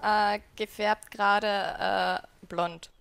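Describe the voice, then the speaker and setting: A woman's voice: mid-range voice, little pitch movement, extremely slow, vocal fry, fairly bright tone, clear, resonant tone. A young woman from outside Germany, a guest player, giving short matter-of-fact answers in casual gaming chat.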